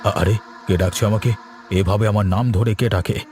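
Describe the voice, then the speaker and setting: Man as a low-voiced, stubborn village son. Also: fairly even intonation, moderate pace, music in the background, lightly acted. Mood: alarmed, angry. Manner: argumentative, self-important. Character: stubborn idle grown son of a village household. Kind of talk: cartoon voice acting.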